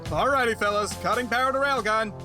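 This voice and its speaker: A man's voice: extremely high pitch, fast, over music. A man playing a cocky, eager gunner crewman in a science fiction audio drama, breezy and gung-ho. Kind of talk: cartoonish audio drama acting.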